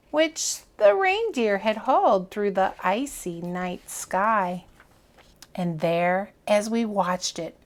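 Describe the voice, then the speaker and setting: Female storytime reader, middle-pitched, slow and deliberate, dramatic children's picture-book delivery. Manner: deliberate, dramatic phrasing with hushed moments. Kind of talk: expressive children's picture-book read-aloud.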